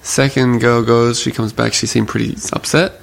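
A man's voice: medium pitch, moderate pace, vocal fry. A man hosting a casual talk show, telling a story with animation and acting out what others said. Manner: animated storyteller who acts out quoted voices.

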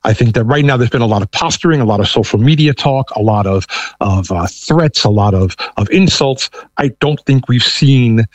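A man's voice: mid-range voice, moderate pace, no echo. A middle-aged or older man from New York with a thick New York accent, talking politics conversationally as a panel guest. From United States, New York.

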